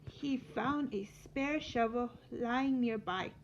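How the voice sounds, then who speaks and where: A woman teacher reading a children's story aloud in an expressive storytelling manner. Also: fairly high pitch, takes it slowly. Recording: dull lo-fi sound with light noise.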